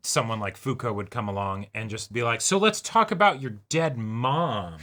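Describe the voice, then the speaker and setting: A man's voice: medium pitch, animated, moderate pace, fairly bright tone. A man co-hosting a reaction show, discussing things in a casual, conversational way. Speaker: co-host of an anime reaction show.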